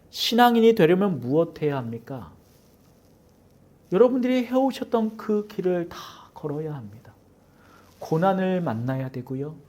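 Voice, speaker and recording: A male pastor preaching in a church with a warm, mellow voice. His pitch swings widely, rising for emphasis and falling low for hushed asides. He acts out dialogue and drops to near whispers. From Seoul. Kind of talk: sermon. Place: church sanctuary.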